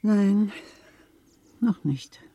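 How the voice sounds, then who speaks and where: An actress playing a worldly, gossipy middle-aged widow of a Berlin official in a German radio play, theatrical, insinuating and chatty. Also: female voice, mid-range voice, extremely slow, mellow, a little hiss or hum. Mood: dry, teasing. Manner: theatrical, insinuating, chatty, repeats words for emphasis.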